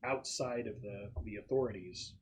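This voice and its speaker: A man's voice: fairly low pitch, moderate pace, a little hiss or hum. A man acting as game master, explaining a scenario to players in a conversational way.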